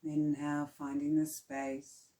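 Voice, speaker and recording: A woman teaching yoga nidra, guiding relaxation in a calm, soothing, hushed way with long pauses. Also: sits low, reverberant. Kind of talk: slow guided relaxation meditation.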